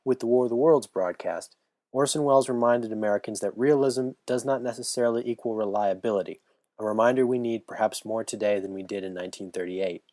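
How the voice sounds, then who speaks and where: A young man, a history student, giving an earnest, measured scripted narration. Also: fairly low pitch, dark tone, dry recording, studio quality. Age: young adult.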